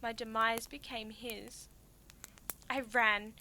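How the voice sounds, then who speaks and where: Woman acting anguished young princess, bright high voice. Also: flat delivery, slow pace, slight background noise, exaggerated voice. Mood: agonised, accusing. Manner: impassioned, declamatory, swinging from hushed to outcries. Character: tragic young Greek princess, wronged, anguished ghostly bride. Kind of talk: theatrical dramatic monologue.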